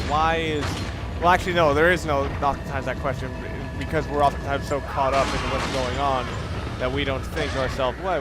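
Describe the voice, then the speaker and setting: Male let's play commentator, voice a touch high, casual rambling delivery with loose swearing, heavy background noise. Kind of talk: casual off-the-cuff gameplay commentary. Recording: loud constant background noise.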